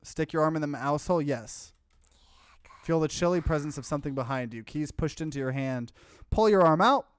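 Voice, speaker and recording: A man's voice: fairly high pitch, moderate pace, clear, resonant tone, dry recording. A man doing a casual let's play, reading game text aloud and dropping in offhand comments. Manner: mixes plain narration with offhand asides.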